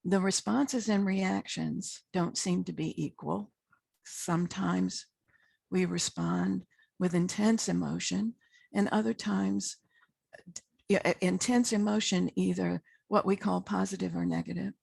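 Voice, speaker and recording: A woman leading a guided meditation as a spiritual teacher, speaking in short, measured phrases with long pauses and a husky, gravelly voice. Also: lowish voice, fairly even intonation, darkish tone, no echo.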